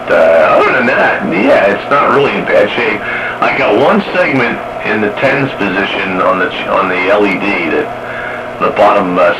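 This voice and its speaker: A deep-voiced man talks shop like an enthusiastic radio hobbyist, loose and folksy with his slang. The transmission is noisy, with clear hiss and din around his voice. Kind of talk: casual radio chat about equipment.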